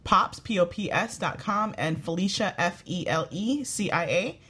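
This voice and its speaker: A woman's voice: fairly low pitch, lively intonation, normal speed, sharp tone, husky. A woman speaking as a guest on a talk show, chatting casually and informally. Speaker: guest author and entrepreneur. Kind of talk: casual chat.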